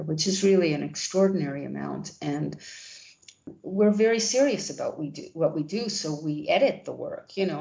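A woman who runs a literary journal speaks in a low voice with a gravelly edge. She answers at length, gently, and often restarts her sentences. The recording sounds slightly dull. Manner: gentle, warm, with many restarts. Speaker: founding editor of a literary journal. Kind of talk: interview answers.

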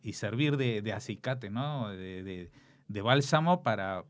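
A man hosting a conversational interview, speaking haltingly as he searches for words and repeats himself. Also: fairly low pitch, animated. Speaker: radio interview host.

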